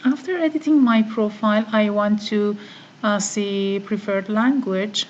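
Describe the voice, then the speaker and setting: A woman whose first language is Farsi, giving a step-by-step online course tutorial, talking methodically and pausing on "uh" often. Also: medium pitch, lo-fi sound, a little hiss or hum. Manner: slow, methodical, with frequent "uh" pauses. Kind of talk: screen-recorded software tutorial.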